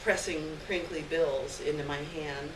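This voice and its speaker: A woman's voice: low voice, fairly even intonation, unhurried. Woman reading fiction aloud, echoey distant sound. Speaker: reader of a short story on stage. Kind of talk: expressive live literary reading to an audience. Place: indoor stage reading before a live audience. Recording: echoey, noisy live room, distant mic.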